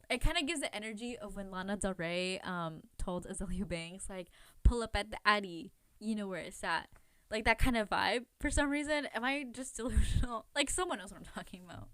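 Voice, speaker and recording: A woman hosts a true crime podcast in a casual, chatty style, at an average pitch. She rambles informally, second-guesses herself and peppers her talk with fillers. The sound is close and dry, with no room echo. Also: pushed and bright. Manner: informal, rambling, self-questioning, filler-heavy with frequent "like". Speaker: podcast host.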